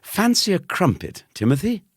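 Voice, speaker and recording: Male reader as chubby know-it-all boy, smug, pompous, high-pitched, swooping, storybook character. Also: huge pitch swings, normal speed, breathy edge, dry recording, studio quality, exaggerated voice. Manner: pompous, correcting.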